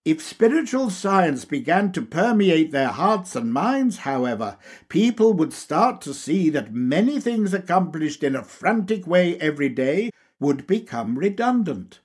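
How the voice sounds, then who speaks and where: A man narrates a lecture as an audiobook in a slightly high, husky voice. His delivery is slow and deliberate, with lively rises and falls as he lays out each point. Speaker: audiobook reader. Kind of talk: scripted narration, reading a lecture aloud.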